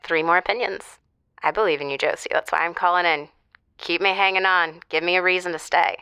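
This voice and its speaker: A woman's voice: on the low side, steady tone, a little fast, sharp tone, clear, resonant tone, no echo. A woman playing a pushy caller who bluntly needles and teases a radio host. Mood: needling. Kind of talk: improvised audio drama.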